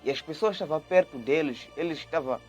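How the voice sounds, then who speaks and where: A man's voice: a little high, a little fast, soft background music. Earnest man teaching the Bible. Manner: earnest, repeats words and restarts, grows lively when retelling. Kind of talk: video Bible study, reading and explaining scripture.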